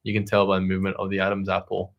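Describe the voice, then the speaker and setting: A man's voice: low voice, completely flat delivery, very fast, gravelly, dull audio. A man co-hosting a live stream, reading out comments and chatting in an agreeable, explaining way.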